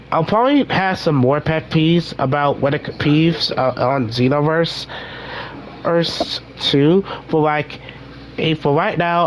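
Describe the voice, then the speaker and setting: A young man hosting a casual gaming vlog, rambling with many restarts while his voice recovers from being hoarse. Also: fairly high pitch, a little hiss or hum. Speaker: gaming channel host.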